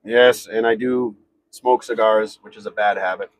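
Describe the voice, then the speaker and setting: A man hosting a casual live stream from his garage, rambling and answering viewers' comments in a very loud voice. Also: on the low side, fairly even intonation, normal speed, poor audio. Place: home garage.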